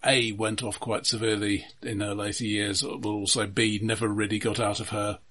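Slightly low, husky man discussing films. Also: little pitch movement, normal speed, sharp tone. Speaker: podcast co-host. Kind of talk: casual analytical film discussion.

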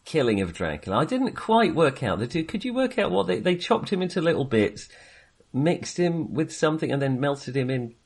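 A man's voice: on the high side, big pitch swings, normal speed, a little mellow, husky. A man chatting casually as co-host of a film review podcast, speaking in a hesitant, self-qualifying way.

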